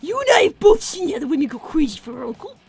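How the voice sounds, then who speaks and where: Woman voicing a very high, bossy little fox boy. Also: sing-song, rapid-fire, creaky, a little mellow, faint music behind, heavily acted. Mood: needling. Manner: blunt, bossy, needling. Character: blunt, bossy five-year-old fox brother, little boy. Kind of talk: improvised in-character banter.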